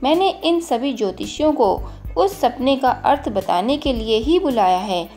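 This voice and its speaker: Male emperor for a storybook female reader: troubled, commanding, familiar with subjects, higher voice, music underneath. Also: animated, measured pace, mellow. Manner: imperious, addressing subjects familiarly. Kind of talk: storybook character dialogue.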